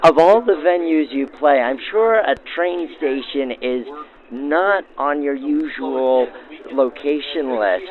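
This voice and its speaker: Tinny 1940s radio announcer, higher-voiced man. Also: slow. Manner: formal, florid announcer phrasing. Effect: backdated 1940s radio filter. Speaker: host, interviewer. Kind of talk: scripted 1940s radio-style narration and interviews. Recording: thin, tinny vintage radio sound.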